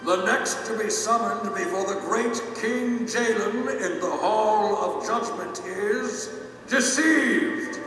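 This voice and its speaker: An actor playing a formal court herald, making a ceremonial proclamation in an audio drama. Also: male voice, high voice, slow pace. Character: formal male court herald summoning souls. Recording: very echoing hall, distant, music underneath.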